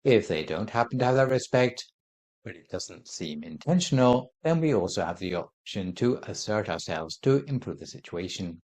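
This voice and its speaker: A Buddhist monk giving a calm dharma talk, explaining his points in a measured, gentle way. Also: on the low side. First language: not Thai.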